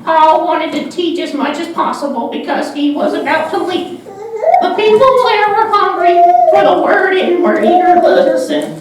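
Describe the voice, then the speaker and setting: A woman's voice: very high voice, very animated, normal speed. A woman playing a patient Sunday school teacher in a children's puppet show. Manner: instructive, patient. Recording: echoey, noisy room, distant mic.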